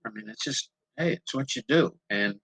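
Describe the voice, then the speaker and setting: An older man moderating a panel, speaking in an unhurried anecdotal way and repeating words as he searches for them, over a video call. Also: mid-range voice, lively intonation, vocal fry, a bit bright, breathy edge, no room sound, poor audio. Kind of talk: conversational panel answer.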